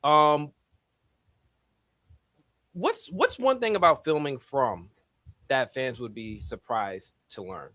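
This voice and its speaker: Man, livestream host, bright tone, animated pitch, playful, dry sound. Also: slow, pauses often, no room sound. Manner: playful, lively, jokey. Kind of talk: interview questions, casual.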